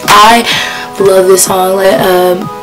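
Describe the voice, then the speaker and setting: Hoarse teenage girl chatting about songs. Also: medium pitch, little pitch movement, slow pace, music in the background, poor audio. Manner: chatty, rambling, with many fillers. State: hoarse, losing her voice. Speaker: vlogger. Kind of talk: casual chat about a music playlist. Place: small bedroom, indoors.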